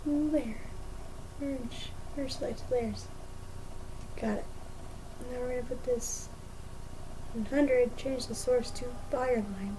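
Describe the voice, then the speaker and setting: A woman with a fairly high voice streams casually while she works. She mutters and thinks aloud to herself, over some background noise in the recording. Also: steady tone, takes it slowly, long pauses. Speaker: art streamer. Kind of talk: casual livestream chat while working.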